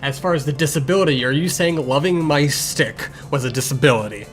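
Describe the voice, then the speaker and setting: A man's voice: on the high side, brisk, close to an everyday voice. A man voicing a grumpy, sarcastic blind young cat healer in a fan Q&A, with a curt, irritable and defensive manner. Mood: dry, defensive. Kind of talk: improvised in-character fan Q&A. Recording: music behind the voice.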